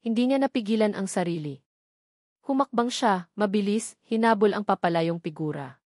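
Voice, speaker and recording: A woman's voice: mid-range voice, normal speed, a little mellow, dry recording. A woman with a Filipino accent reading a dramatic scripted story as an audiobook narrator, in a dry recording.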